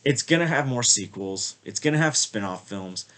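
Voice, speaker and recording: A man's voice: medium pitch, expressive, moderate pace, a bit bright. A man with a slight cold, sniffling a little, giving a rambling, casual movie review with lots of filler words. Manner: rambling, many "like" and "I feel like" fillers. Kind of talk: casual spoken film review.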